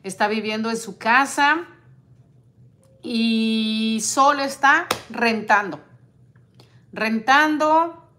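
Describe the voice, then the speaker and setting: A woman in her forties, a vlogger talking on a home livestream and reading out viewer comments in an earnest, indignant but measured way. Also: a little high, sharp tone. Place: living room at home.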